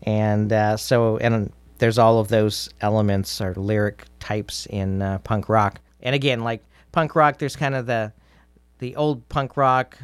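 A man with a lowish voice talks casually as a guest on a radio show. His delivery is halting and reflective, feeling out each thought as he goes. The sound is dry, with very little room around him. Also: steady tone, clear, resonant tone, no echo. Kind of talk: casual interview chat.

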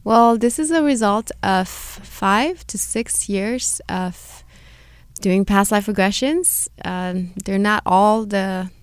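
A woman's voice: medium pitch, slow pace, clean tone, no room sound, clean studio sound. A woman with a Hungarian Romanian accent, a holistic healer talking as a guest in a radio interview, earnest and encouraging, with small repeats.

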